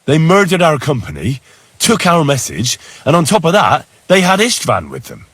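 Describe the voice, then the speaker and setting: A man's voice: fairly high pitch, huge pitch swings, normal speed, a bit bright, breathy edge, no echo, heavily acted. An actor playing an indignant, forthright man-at-arms in a game, arguing directly. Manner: argumentative, direct.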